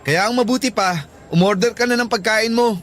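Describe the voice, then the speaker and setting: An actor playing a sweet, easygoing young student suitor in a Filipino radio drama, casual and earnest, with a Filipino accent. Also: male voice, sits high, brisk, fairly bright tone. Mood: doubtful, defensive.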